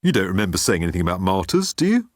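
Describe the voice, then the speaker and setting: A man's voice: low voice, sing-song, very fast, darkish tone, dry recording. A man voicing a sardonic disembodied head on a spaceship, dry and mocking.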